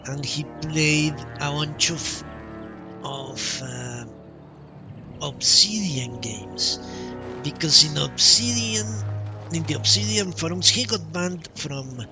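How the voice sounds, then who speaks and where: A man streaming a video game, telling a long story casually in a halting, drawn-out way. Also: a little high. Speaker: game livestreamer. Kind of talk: casual rambling storytelling while playing a game. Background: video game music.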